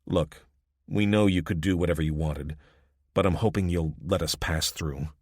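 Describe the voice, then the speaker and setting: A man's voice: deep voice, fairly even intonation, normal speed, a little mellow, no echo, studio quality. A man voicing a weary middle-aged police detective who speaks tersely and firmly. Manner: terse, firm.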